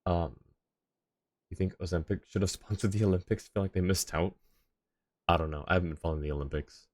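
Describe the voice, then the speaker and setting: A man's voice: low voice, fast, a little mellow. A man doing casual live game commentary, reading dialogue aloud and adding wry, rambling asides. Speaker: game streamer. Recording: game music behind the voice.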